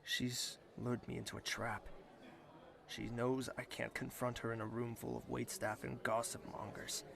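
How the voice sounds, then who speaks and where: Audio drama: courtly young prince, gravelly mid-range voice, formal, persistent, faint noise behind. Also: male voice, medium pitch, flat delivery, a little slow. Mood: frustrated, wary.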